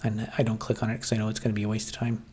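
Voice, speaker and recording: A man's voice: lowish voice, monotone, racing, darkish tone, slightly rough. A man hosting a casual livestream, reading chat and musing aloud with lots of fillers and restarts. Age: adult, grew up watching 1990s TV.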